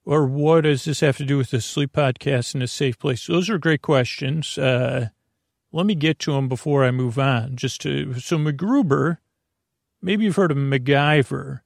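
A man with a creaky voice hosting a sleep podcast, meandering through a soothing, hesitant bedtime monologue and often interrupting himself. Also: medium pitch, moderate pace, no echo, studio quality. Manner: lulling, soothing.

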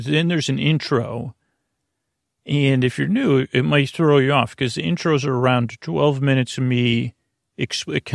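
A man with a mid-pitched voice full of creak hosts a bedtime podcast. He meanders through his monologue, stopping, restarting and drifting off the point. The sound is dry, with no room around it. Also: dry recording. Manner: meandering, halting, circles back and trails off. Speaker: podcast host. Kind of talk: rambling sleep podcast intro monologue.

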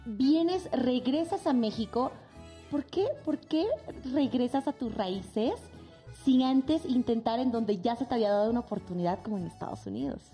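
A woman's voice: on the high side, lively intonation, moderate pace, mellow, faint music behind. A woman from South America, co-hosting a podcast and asking a guest encouraging questions in a casual chat. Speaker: podcast co-host.

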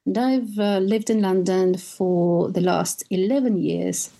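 A woman with a Russian accent talks enthusiastically, stringing anecdotes together and now and then restarting a word. Her voice is mellow and relaxed at a medium pitch. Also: takes it slowly, vocal fry. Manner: enthusiastic storytelling with occasional restarts. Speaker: guest, perfume tour guide. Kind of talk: conversational interview.

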